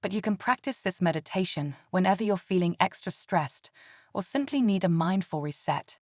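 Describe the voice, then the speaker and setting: Woman, meditation guide, lowish pitch, gentle soothing delivery, scripted, dry close sound. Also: animated, normal speed, dry recording.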